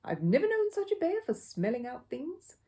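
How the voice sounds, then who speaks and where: A woman acts out an older housekeeper from a children's storybook. She is brisk and bossy, scolding fondly. Her pitch jumps about in a lively way. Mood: brisk, warning. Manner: brisk, admonishing.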